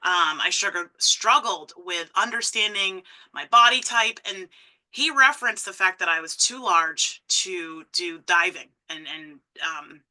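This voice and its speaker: A woman's voice: on the low side, lively intonation, a little slow, rough recording. A woman in her thirties from Massachusetts, a conservation director giving a personal talk full of ums and restarts. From Massachusetts, USA. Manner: warm storytelling with frequent ums and restarts.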